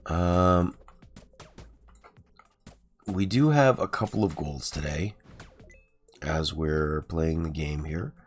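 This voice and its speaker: Very deep-voiced man, raspy from a sore throat. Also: takes it slowly, long pauses, soft background music. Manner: relaxed, rambling, with frequent ums and uhs. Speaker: gaming streamer. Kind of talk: casual let's-play commentary.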